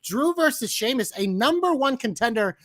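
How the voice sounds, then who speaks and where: A man co-hosting a podcast, talking quickly and excitedly about wrestling, joking around and swearing. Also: very high voice, dry recording, studio quality. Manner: fast, excitable, jokey, swears. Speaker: podcast co-host. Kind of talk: casual wrestling chat.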